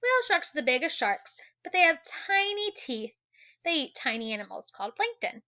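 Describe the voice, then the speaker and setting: A woman teacher reading an educational children's book aloud in an animated way, adding exclamations and wondering asides for young listeners. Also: high voice, fairly quick, highs cut off.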